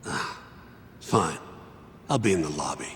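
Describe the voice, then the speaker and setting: A man's voice: rumbling low, expressive, a little slow, fairly bright tone, big-room sound, a little hiss or hum, heavily acted. An actor playing a blunt, skeptical male partner who dismisses the case. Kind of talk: video game voice acting.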